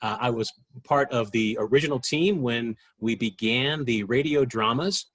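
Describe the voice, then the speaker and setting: Mid-pitched American man in an interview. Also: moderate pace, a bit bright, slightly rough. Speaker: radio drama team member, interviewee. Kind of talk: interview recollection.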